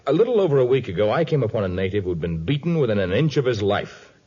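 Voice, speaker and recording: A husky, expressive voice as a stern, fearless jungle hero. He is formal and pointed, in the manner of old radio adventure, on a dull 1950s recording. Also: lowish voice, fast talker. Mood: accusing. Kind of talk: 1950s radio drama acting.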